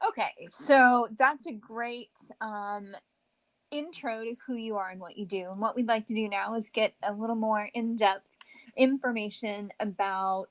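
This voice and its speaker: Higher-voiced woman podcast host. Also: fairly even intonation, measured pace, a bit bright, no echo, low-quality recording. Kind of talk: casual interview conversation.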